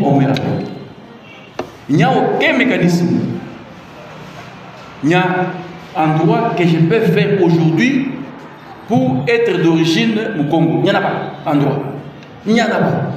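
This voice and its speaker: A middle-aged man with a Congolese French accent speaks to a crowd with emphatic repetition and pointed rhetorical questions. His voice sits a touch high for a man. It is recorded from a distance in a big hall with a long, booming echo and a faint hum of ambience. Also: a little mellow. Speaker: presidential adviser giving a public talk. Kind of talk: public speech on the constitution. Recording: echoey hall, mic far off.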